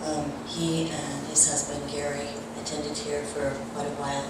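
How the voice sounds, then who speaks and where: A woman's voice: low-pitched, little pitch movement, normal speed. A woman speaking to a congregation at a church service, giving announcements and readings. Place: large reverberant room at a church service. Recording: very echoey, noisy room, distant mic.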